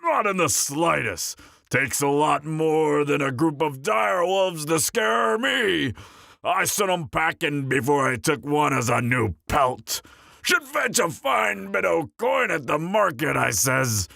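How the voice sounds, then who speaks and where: A man voicing a boastful, bawdy male dwarf hunter with a gravelly voice, rowdy and loud. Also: fairly high pitch, very animated, slow, no room sound, exaggerated voice. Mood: boisterous. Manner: rowdy, boastful, jokey, shouts often. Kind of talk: fan audio drama roleplay.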